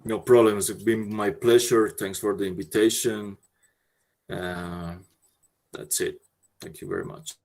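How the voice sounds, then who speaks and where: Slow, gravelly-voiced male lecturer. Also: lowish voice, level tone, a little mellow. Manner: halting, searching for words. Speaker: guest lecturer. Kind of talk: lecture wrap-up and thanks.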